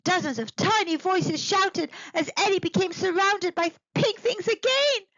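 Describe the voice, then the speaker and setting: Lady reading a kids book out loud, high voice, really expressive, dry close sound, bit dull. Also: no echo. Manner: animated, deliberate. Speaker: storybook narrator. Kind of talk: expressive children's read-aloud narration.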